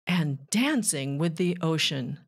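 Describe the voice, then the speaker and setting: A woman reading a rhyming children's book aloud with measured, deliberate phrasing. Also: low-pitched, animated, breathy edge. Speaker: children's author and narrator.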